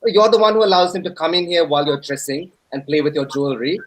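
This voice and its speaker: A man's voice: on the high side, fast, fairly bright tone. A man playing a stern, reproachful father in a dramatic reading of a family story. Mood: stern, accusing. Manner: blaming, reproachful.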